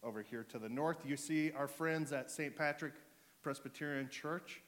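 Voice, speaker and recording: A man with a sore throat and a fading voice, preaching to his church in a relaxed way, full of ums and restarts. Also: fairly high pitch, normal speed. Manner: joking, relaxed, with fillers and restarts. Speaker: pastor. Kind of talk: sermon.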